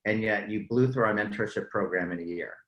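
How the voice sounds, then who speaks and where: A man's voice: on the low side, fairly quick, a bit bright. A man hosting a panel casually, encouraging guests and joking with them. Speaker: panel moderator. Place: indoor room on a group video call. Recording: roomy, echoing call audio.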